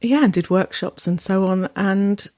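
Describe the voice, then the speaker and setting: A woman's voice: medium pitch, a little slow, darkish tone, dry recording, clean studio sound. A woman, a sound therapist and former singer, telling her story thoughtfully in a podcast conversation with many ums and restarts.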